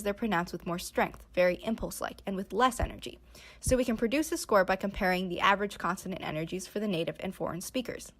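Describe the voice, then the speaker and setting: A young adult woman reading a scripted narration for a student slideshow presentation. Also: medium pitch. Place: voice-over recorded for slides.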